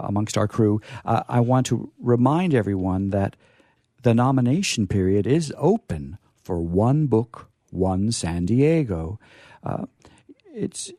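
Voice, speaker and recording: Halting male host, mellow and dry. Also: mid-range voice, no room sound. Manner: hesitant, halting delivery. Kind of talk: casual live stage discussion.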